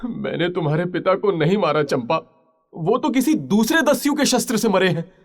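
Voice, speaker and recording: An actor playing a proud, lovelorn mariner chief in an audio story, impassioned and pleading with halting pauses. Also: male voice, sits high, expressive. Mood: earnest longing. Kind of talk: Hindi literary audio story drama.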